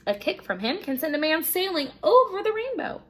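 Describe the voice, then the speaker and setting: Woman playing a high-voiced earnest young herd boy. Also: big pitch swings, fairly quick, fairly bright tone, heavily acted. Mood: wary. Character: small plucky thirteen-year-old Irish herd boy, plaintive.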